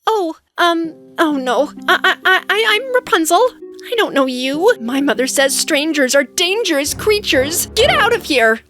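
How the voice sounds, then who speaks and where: A woman voicing a timid, nervous, sheltered young maiden in an animated fairy tale, stammering in alarm. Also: very high-pitched, a little slow, soft background music, pitched above the performer's own voice, heavily acted. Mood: frightened.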